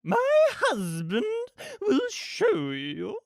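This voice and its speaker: A woman's voice: sits high, wildly swooping pitch, very slow, dry recording, studio quality, voice pushed up, full character voice. A woman voicing an imperious, gleefully murderous rich villainess from a storybook, with a haughty, theatrical, commanding manner. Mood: gleefully menacing.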